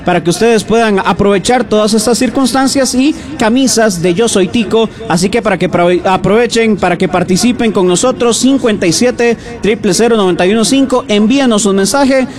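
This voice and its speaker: A Costa Rican man with a high-pitched voice works as a live radio sports commentator. He addresses the audience directly and keeps an enthusiastic tone. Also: fairly quick.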